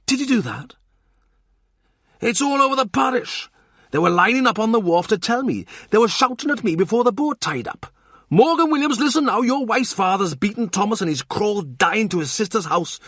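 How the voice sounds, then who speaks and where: Self-important guy worked up and ranting, narrator doing the voice, pitched up high, kinda husky. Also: animated, moderate pace, fairly bright tone, no echo, studio quality, heavily acted. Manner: heated, ranting indignation. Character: blustering, excitable man, self-important and loud.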